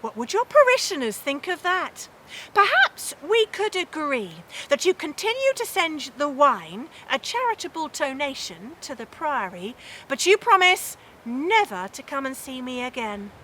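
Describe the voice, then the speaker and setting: Woman voicing a cunning storybook prioress, coaxing, mock-sympathetic, high and bright, faint noise behind. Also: very animated, a little slow, heavily acted, poor audio. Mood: mock-sympathetic, calculating. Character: cunning, politely manipulative medieval prioress. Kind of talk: storybook character in oral storytelling.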